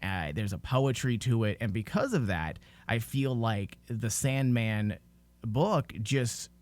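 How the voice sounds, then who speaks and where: Guy hosting a casual discussion podcast, opinionated, average pitch, close dry sound. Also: slow pace, a little mellow, dry recording. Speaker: podcast host.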